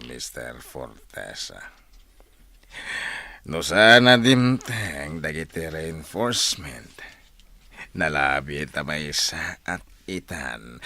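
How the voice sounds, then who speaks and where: An actor with a Filipino accent playing a commanding, menacing, proud general in a radio drama. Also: male voice, very deep voice, bright, forceful tone, full character voice.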